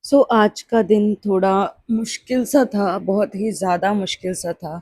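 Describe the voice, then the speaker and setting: Female vlog narrator, reflective delivery; mellow tone with gravelly fry. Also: medium pitch, measured pace, no echo. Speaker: daily vlogger. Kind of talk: personal vlog voice-over narration.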